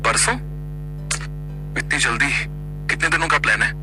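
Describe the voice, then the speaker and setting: A man's voice: on the high side, very animated, moderate pace, music in the background. A man playing a shy, uneasy young husband who gives hesitant, reluctant replies in an audio story.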